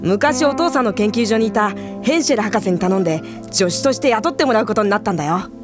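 Casting: woman as cheeky anime teen boy, earnest kid brother, fast, boyish, eager. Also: mid-range voice, animated, music in the background, close to an everyday voice. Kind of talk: anime audio drama acting.

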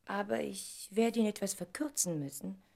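An actress playing an emotional, impulsive Broadway star of twenty-nine in a radio play, with expressive, theatrical delivery. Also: female voice, medium pitch, moderate pace, vocal fry. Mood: determined. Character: 29-year-old Broadway star, emotional, impulsive, devoted woman.

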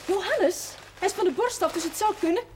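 An actress playing a lively, pleading young woman in a Dutch television period drama, eager and emphatic. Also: very high voice, fairly quick. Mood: eager, persuasive. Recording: noisy old television soundtrack with clicks.

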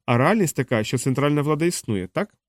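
A man's voice: fairly high pitch, expressive, fast talker, dry recording, close to an everyday voice. Cautious male cyberneticist for audiobook, read by a man, questioning, doubtful, dry close sound. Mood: probing.

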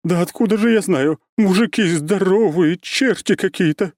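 A man's voice: sits high, normal speed, darkish tone, dry recording, clean studio sound, pitched above the performer's own voice, natural voice. A man voicing a distraught, combative middle-aged mother of the bride in an audiobook, with breathless, emotional delivery. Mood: agitated, indignant. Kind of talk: audiobook character voice.

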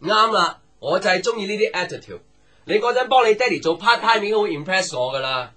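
A chatty young boss in a radio drama, his voice bright and highish with a rough husk. He is upbeat and approving. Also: animated, a little fast, exaggerated voice. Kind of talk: Cantonese radio drama acting.

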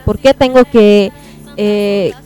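A woman's voice: medium pitch, fairly even intonation, slow pace, mellow, clear, resonant tone, soft background music. A woman talking casually on a radio panel, building her points tentatively with repeated phrases. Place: radio booth.

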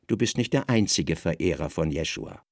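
A man playing a grave, weary Roman governor in a radio drama, speaking quietly with measured authority. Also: a bass voice, expressive, no echo, clean studio sound, pitched below the performer's own voice, full character voice. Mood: cold, dry.

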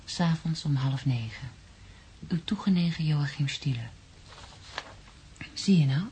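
A soothing young wife in a radio play. Her voice is soft, dark and rather low, with faint noise on the recording. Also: measured pace. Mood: reading aloud. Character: Simone, calm soothing pregnant young wife. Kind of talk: Dutch radio drama acting.

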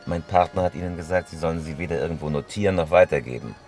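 An actor playing a cold, menacing professional robber in a German radio crime drama, terse, commanding and unemotional. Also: male voice, sits low, quick pace, a little mellow, soft background music, lightly acted. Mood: cold, curt.

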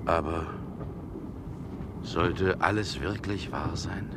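Male role: worldly former lover, sardonic and deliberate, vintage radio drama with strong background noise. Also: low voice. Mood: brooding, musing. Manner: mocking, musing, deliberate. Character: ironic, self-assured gentleman, mocking former lover. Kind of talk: 1960s German radio play acting. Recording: noisy vintage 1960 radio recording.

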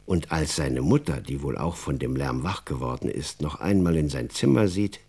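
Deep, gravelly, animated male storyteller. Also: moderate pace. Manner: expressive, wry storyteller. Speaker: narrator. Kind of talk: scripted storytelling for a children's radio drama.

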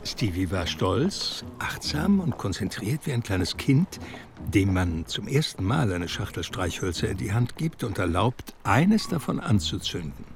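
Very expressive male drama narrator. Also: lowish voice, a little slow, vocal fry, background noise. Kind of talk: literary radio drama narration.

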